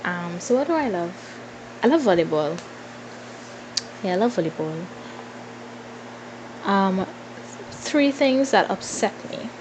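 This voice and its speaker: Female vlogger, twenties, Trinidadian accent. Slow, informal Q&A chat with playful asides, some background noise. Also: mid-range voice, big pitch swings. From Trinidad and Tobago. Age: 20s. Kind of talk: casual Q&A chat to followers.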